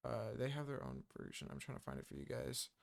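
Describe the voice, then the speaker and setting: A man giving a casual music-software tutorial, talking loosely with many ums and uhs. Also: medium pitch, sing-song, fast talker, vocal fry.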